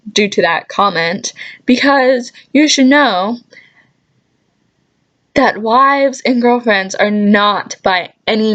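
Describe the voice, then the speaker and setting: A young adult woman telling casual, chatty stories in an animated, exasperated way, with sarcastic asides and mild swearing. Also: fairly high pitch. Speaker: storytelling vlogger. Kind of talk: casual anecdote vlog, chatty.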